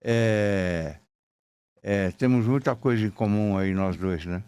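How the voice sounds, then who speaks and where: Homem brasileiro entrevistando, som seco. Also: male voice, on the low side, takes it slowly, a little mellow, smooth, dry recording. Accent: Brazilian. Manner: conversational, short affirming interjections. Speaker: podcast host and interviewer. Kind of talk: casual interview.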